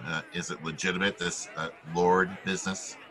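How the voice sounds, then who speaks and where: Hard-boiled American gumshoe male actor, low, forceful voice with a husky edge, wry and clipped, vintage radio noir. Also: little pitch movement, normal speed, a faint crowd. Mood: brusque, probing. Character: hard-boiled, wry male private detective narrating his case. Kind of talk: 1940s radio detective acting and narration.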